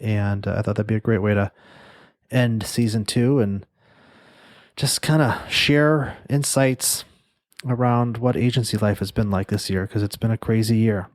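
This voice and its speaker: A man's voice: on the low side, a little slow, no echo, clean studio sound. Nasal man hosting a solo podcast. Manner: casual, rambling with many fillers and restarts. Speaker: podcast host and agency owner. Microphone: studio microphone.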